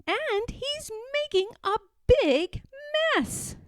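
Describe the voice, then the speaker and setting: A woman reading a children's picture book aloud in an expressive, sing-song way. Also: very high-pitched, very slow, no room sound, studio quality. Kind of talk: storybook read-aloud.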